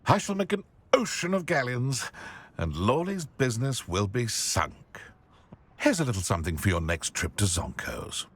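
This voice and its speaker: An actor playing a scheming, gleeful middle-aged shopkeeper in a video game, with a theatrical, relishing delivery. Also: male voice, mid-range voice, big pitch swings, moderate pace, a bit bright, husky. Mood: gloating. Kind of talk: video game dialogue acting.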